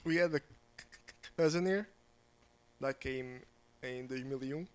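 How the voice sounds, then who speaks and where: Halting Portuguese-born guest. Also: male voice, mid-range voice, clean tone. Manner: brief, halting interjections. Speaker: podcast guest.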